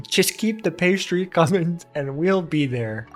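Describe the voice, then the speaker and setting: A woman with a slightly low voice comments casually on a game playthrough. She is informal and chatty, a streamer reacting as she plays, with music behind her. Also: lively intonation, slow.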